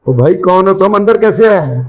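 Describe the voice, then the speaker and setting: A kindly, commanding rich employer played by a male actor in a homemade moral drama. The voice is somewhat high and brisk, with a faint noisy ambience behind it. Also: expressive, lo-fi sound. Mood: concerned. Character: generous wealthy employer, trusting but firm. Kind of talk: amateur moral-story video skit acting.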